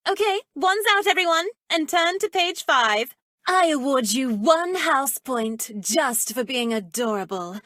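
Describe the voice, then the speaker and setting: A haughty witch who teaches the dark arts for a video game. Her voice sits fairly high and is recorded close and dry. She draws out her words with arch, theatrical menace and a flirtatious edge. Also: animated, unhurried, no echo, exaggerated voice. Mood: haughty teasing. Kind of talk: video game character voice acting.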